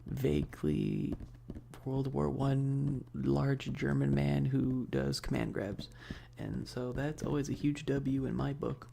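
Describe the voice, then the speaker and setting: A man chatting casually on an ASMR game stream in a soft voice that often slips toward a whisper, laid-back and sweary with slangy, hesitant enthusiasm. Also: mid-range voice, little pitch movement, slow, creaky, slight background noise. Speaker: streamer hosting a live stream. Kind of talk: casual rambling ASMR stream chat about games.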